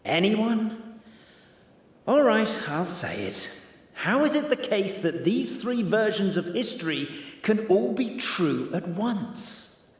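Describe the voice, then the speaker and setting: Casting: melodramatic storyteller for a game, highly animated, echoing reverb. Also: male voice, slow, slight background noise, full character voice. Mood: dramatic. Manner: melodramatic, grandiose. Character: theatrical storyteller narrator, dramatic and playful. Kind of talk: video game story narration. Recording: long reverb on voice.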